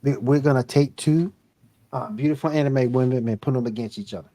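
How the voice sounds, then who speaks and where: A man's voice: medium pitch, brisk, warm tone. A man hosting a podcast, casually passing the turn to each guest. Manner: friendly, hands over turns. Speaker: podcast host. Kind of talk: casual chat.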